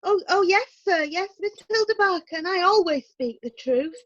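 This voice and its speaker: A woman doing a very high fluttery farm wife. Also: expressive, normal speed, fairly bright tone, dull audio. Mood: flustered.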